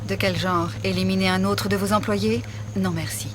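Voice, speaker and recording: Woman as cool confident game adventuress, dry, medium pitch, noisy scene. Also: fairly even intonation, normal speed, natural voice. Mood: cool, skeptical. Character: cool, self-assured adventuress, dryly skeptical.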